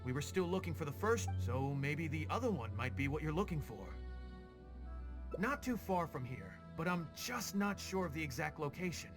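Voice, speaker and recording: An earnest small-time rogue, keen to please and humbly deferential. His game-character delivery is excitable yet unsure of itself, with music underneath. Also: fairly high pitch, normal speed. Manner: earnest, fawning, excitable, hesitant. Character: eager, deferential male rogue seeking to go straight. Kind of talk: video game voice acting.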